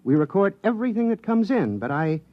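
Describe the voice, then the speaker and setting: Excitable high-voiced radio-play scientist. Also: male voice, brisk, no echo, highs cut off. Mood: pleased, matter-of-fact. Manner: animated, explanatory, eager. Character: excitable, proud government signal scientist, eager and absent-minded. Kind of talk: 1950s radio drama acting.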